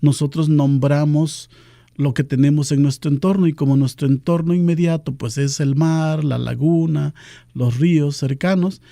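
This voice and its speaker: Mellow-voiced man, native Nahuatl speaker. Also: fairly high pitch, steady tone, creaky, no room sound, studio quality. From Pajapan, southern Veracruz, Mexico. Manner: explanatory, measured, with "este" fillers. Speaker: guest, cultural outreach official. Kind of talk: radio interview.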